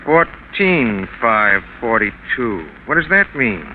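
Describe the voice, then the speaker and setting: An actor playing a plodding, puzzled police inspector in a 1940s radio drama, plain-spoken and deliberate. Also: male voice, mid-range voice, big pitch swings. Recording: tinny, hissy 1940s radio recording.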